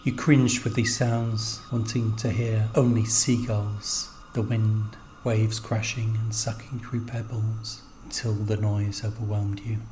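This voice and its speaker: A man reading scripted literary narration in a measured, sombre manner. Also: fairly low pitch, level tone, darkish tone, slight background noise.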